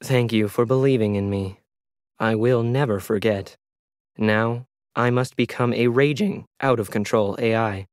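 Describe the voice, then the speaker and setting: A man voicing a loyal, stubborn android worker with a measured, earnest manner in an audio story. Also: medium pitch, fairly even intonation, no echo, clean studio sound, close to an everyday voice. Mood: resolute.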